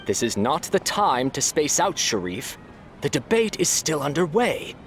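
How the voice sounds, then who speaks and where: A high male voice with wildly swinging pitch plays an officious adjudicator. He issues formal commands and stern reprimands. Faint music plays behind him. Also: sing-song, measured pace. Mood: chiding. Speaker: voice actor in a game dub. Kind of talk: formal proclamations in game dialogue. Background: background music.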